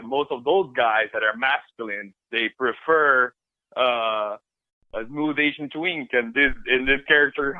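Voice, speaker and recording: A man from Brazil with a Brazilian Portuguese accent, a film director speaking as an interview guest in an animated way, often repeating words as he starts sentences. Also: medium pitch, dry recording, phone-quality sound. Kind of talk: casual interview talk.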